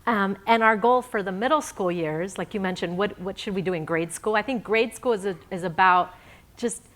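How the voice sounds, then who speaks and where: A woman's voice: mid-range voice, moderate pace, fairly bright tone. A woman on a conference panel who runs an organization for girls, talking earnestly with many fillers. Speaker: panelist, nonprofit founder. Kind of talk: panel discussion.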